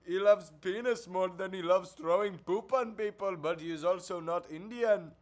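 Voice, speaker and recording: A man putting on a mock accent as a character called Raj, slow and loud. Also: sits high, natural voice. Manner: exaggerated mocking put-on accent, slow and loud.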